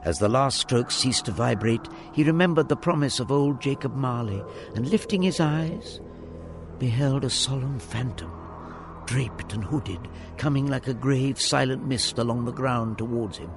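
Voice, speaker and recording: A man narrating a Victorian ghost tale for a radio drama in a grave, measured manner. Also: medium pitch, over music, natural voice.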